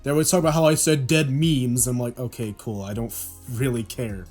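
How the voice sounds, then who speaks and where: A young man from Oklahoma with a deep voice, a podcast guest in casual chat who rambles and restarts a lot. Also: steady tone, a little fast, a little mellow, faint music behind. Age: young adult. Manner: rambling, self-deprecating, many restarts.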